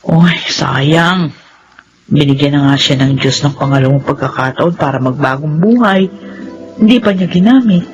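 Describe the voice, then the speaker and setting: An actress playing a caring middle-aged wife and mother in a radio drama, speaking with gentle concern. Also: low-pitched, moderate pace, muffled recording, soft background music, lightly acted. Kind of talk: classic radio drama acting.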